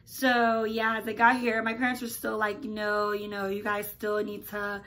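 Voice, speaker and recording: A woman's voice: mid-range voice, moderate pace. A young woman, raised in America after being born in Nigeria, telling stories casually to her channel with lots of "like" and "oh my gosh". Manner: animated, chatty, lots of "like" and "oh my gosh". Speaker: YouTuber. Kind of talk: casual storytelling to camera.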